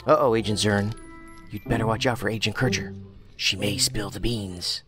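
A man's voice: fairly low pitch, steady tone, moderate pace, over music, natural voice. A man playing a combative, sardonic federal investigator in a science fiction audio drama, with a dry, needling manner. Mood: sarcastic.

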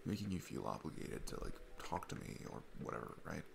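A man's voice: extremely low pitch, sing-song, a little fast, creaky, background noise, full character voice. A man playing a sweet, nervous single dad in an intimate ASMR roleplay, rambling and hesitant in a casual, self-deprecating way. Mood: earnest, awkward.

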